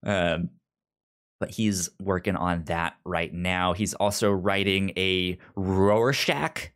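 A man co-hosting a casual comic book discussion, enthusiastic, with a halting delivery that repeats words mid-thought. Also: deep voice, smooth. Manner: enthusiastic, animated, breaks into mock quotes. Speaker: podcast co-host.

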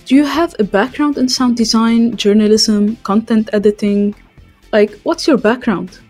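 A woman's voice: a little high, fairly even intonation, moderate pace, a little mellow, faint music behind. A woman interviewer reading out short scripted questions.

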